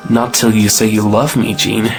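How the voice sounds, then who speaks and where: Woman playing a very deep-voiced, cocky young suitor. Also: flat delivery, normal speed, darkish tone, soft background music. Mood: amorous, insistent. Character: cocky, amorous young gentleman suitor, boyish and impulsive. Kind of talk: stage comedy audio play acting.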